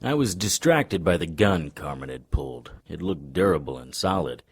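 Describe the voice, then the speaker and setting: An actor playing a wry, unflappable occult detective, narrating an audio drama in a dry noir style. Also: male voice, low-pitched, lively intonation, moderate pace, slightly rough, exaggerated voice. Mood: wry, tense.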